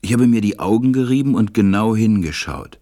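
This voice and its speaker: An actor playing a grown pilot who wistfully recalls his life as a storyteller in a radio play, measured and reflective. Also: lowish voice, lively intonation, warm tone, natural voice. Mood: wistful, wondering.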